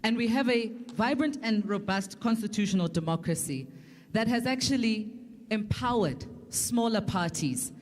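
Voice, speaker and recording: A woman's voice: medium pitch, expressive, darkish tone. Emphatic, articulate South African woman. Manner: articulate, emphatic, deliberate phrasing with repetition. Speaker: political panelist. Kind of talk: prepared political argument at a public forum.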